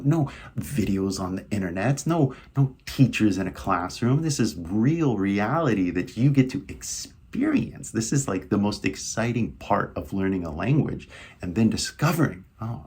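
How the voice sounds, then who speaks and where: A man's voice: fairly low pitch, animated, moderate pace, gravelly, darkish tone, breathy edge. A man teaching English in a casual video lesson, coaching with repetitive questions like "right?".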